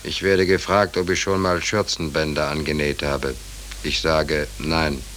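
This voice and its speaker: A man's voice: a bass voice, little pitch movement, a little slow, a bit bright, soft background music. A man playing a hapless, naively polite drifting sailor in a 1940s German radio drama, in a rambling, deferential manner. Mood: deadpan. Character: hapless, naively polite stateless sailor, wryly shrugging off trouble. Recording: noisy, hissy 1940s radio recording.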